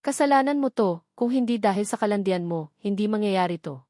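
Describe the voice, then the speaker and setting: A woman's voice: mid-range voice, animated, fairly quick, dry recording, clean studio sound, natural voice. Woman voicing a furious, scolding middle-aged father. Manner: scolding, shouted accusations. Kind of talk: audio drama storytelling.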